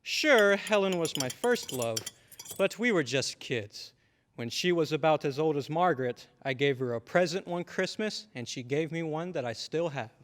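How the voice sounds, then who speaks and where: A stern yet affectionate father, acted by a man, speaking in a measured, slightly pompous way. The voice sits a little high and rings in a reverberant hall, in old-time radio drama style. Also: expressive, a bit bright, a little hiss or hum. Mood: fond reminiscing. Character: stern but affectionate small-town father.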